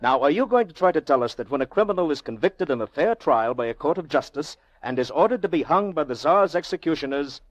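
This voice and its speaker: A man playing a haughty, very wealthy middle-aged gentleman in an old-time radio drama, speaking in pompous rhetorical flourishes with drawn-out emphasis. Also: on the high side, animated, no room sound, highs cut off. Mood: scornful, argumentative. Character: haughty, very wealthy Russian gentleman, goading and theatrical.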